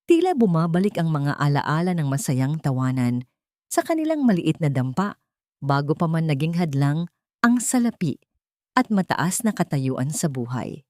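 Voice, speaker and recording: A woman narrating a drama story in a slow, somber, suspenseful scripted manner. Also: low-pitched, mellow, dry recording, clean studio sound.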